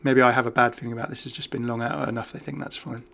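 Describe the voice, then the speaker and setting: Male, gaming host, medium pitch, casual commentary, chatty, jokey. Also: flat delivery, rapid-fire, gravelly, a bit bright, a little hiss or hum.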